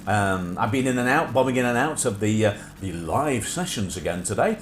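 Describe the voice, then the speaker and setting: A man's voice: lowish voice, lively intonation, moderate pace, roomy sound, slight background noise. A middle-aged man hosting a casual livestream chat, slightly nasal from sinusitis, rambling with many filler hesitations. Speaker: host.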